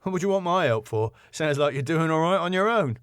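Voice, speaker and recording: A man's voice: on the high side, expressive, brisk, a bit bright, smooth, dry recording, voice pushed up. Man playing a streetwise British bloke, cheeky, blunt, slangy, sitcom character, dry close sound. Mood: blunt, wry.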